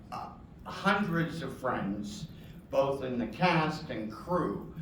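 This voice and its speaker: A man actor speaking earnestly on a convention panel. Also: on the high side. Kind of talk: panel talk. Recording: echoey hall, distant mic, background noise.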